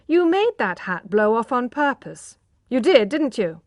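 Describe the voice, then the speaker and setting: A brash, reckless young gentleman from a storybook, put on by a woman reader. He talks loud and bossy, with a pushy, mocking edge. The sound is close and dry, with no room around it. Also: a little high, very animated, unhurried, no room sound, pitched above the performer's own voice. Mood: coaxing.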